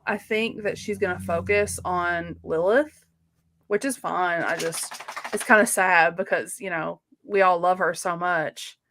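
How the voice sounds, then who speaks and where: A woman's voice: lowish voice, steady tone, a little slow, a bit bright. A woman co-hosting a casual live book chat, effusive and candid, rambling along with plenty of filler "likes". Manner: effusive, rambling, uses lots of "like". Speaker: co-host of a live book readalong.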